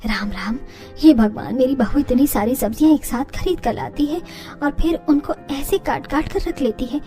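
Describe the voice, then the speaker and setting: A woman's voice: high voice, normal speed, mellow, faint music behind, natural voice. A woman voicing an older Indian mother-in-law in a story, homely and exclaiming, scandalised yet kindly. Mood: shocked, complaining.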